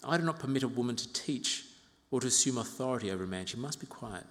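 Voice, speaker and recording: A man in his fifties from Uganda preaching an expository sermon as a pastor, in a measured, reflective way with pauses and gentle asides. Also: mid-range voice, animated. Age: 50s. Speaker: pastor preaching to own congregation. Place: large reverberant church interior. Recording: reverberant hall.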